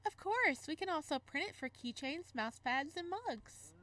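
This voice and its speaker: A woman's voice: sits high, animated, normal speed, bright, forceful tone, voice pushed up. A woman voicing a pushy souvenir photo employee in a visual novel, with a salesy manner.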